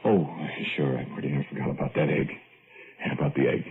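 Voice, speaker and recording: A man's voice: very deep voice, fairly even intonation, fast talker, vocal fry. An American actor playing a folksy, gleefully sinister man with a giant pet fly, in 1940s radio horror style. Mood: confiding, eerie. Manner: folksy, colloquial, chuckling menace. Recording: lo-fi, echoey old radio recording.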